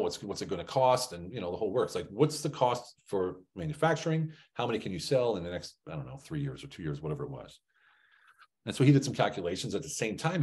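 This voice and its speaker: Low-voiced man telling business stories. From Germany. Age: middle-aged. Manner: animated storytelling, acting out dialogue, punchy rhetorical questions. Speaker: business trainer. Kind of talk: motivational training talk with anecdotes. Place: indoor room, on a group video call. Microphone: video call.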